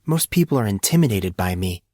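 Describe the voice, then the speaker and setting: A man's voice: fairly low pitch, sing-song, brisk, warm tone, no echo. Male narrator as teenage girl, wry, mysterious, intimidating, dry audiobook sound. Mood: matter-of-fact. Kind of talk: audiobook character dialogue.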